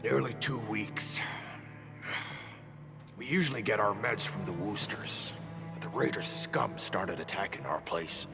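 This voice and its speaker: A man's voice: fairly low pitch, measured pace, creaky, long pauses. An actor playing a wary, scolding older man who leads a shelter in a video game. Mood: grave. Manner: suspicious, scolding. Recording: long reverb, music behind.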